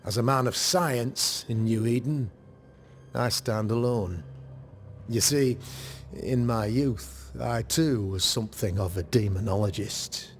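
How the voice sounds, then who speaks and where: An actor playing a courteous, self-satisfied colonial governor in a period game drama, speaking in formal, wordy phrases. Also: male voice, medium pitch, slow pace, natural voice. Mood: self-assured. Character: pompous, courteous colonial governor of middle age. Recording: music under the voice.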